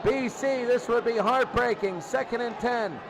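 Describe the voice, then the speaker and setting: Excitable guy doing football play-by-play, really high voice, crowd roaring behind him. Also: a little slow. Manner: excitable, repeats phrases for emphasis. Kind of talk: live radio-style sports commentary. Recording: loud crowd and noise behind.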